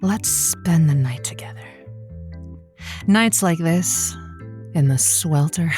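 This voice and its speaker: A woman plays a seductive late-night love advice hostess in an audio drama. Her voice sits on the low side, and she draws her words out in a teasing, theatrical way, with music behind her. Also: warm tone. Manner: slow, theatrical, playful drawl. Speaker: radio call-in host. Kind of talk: scripted audio drama, seductive radio monologue.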